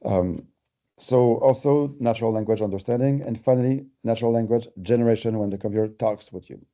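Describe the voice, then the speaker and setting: French Canadian man, very mellow voice. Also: mid-range voice, fairly quick, lo-fi sound. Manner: conversational, explanatory, uses everyday examples. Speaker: company presenter. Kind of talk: webinar presentation.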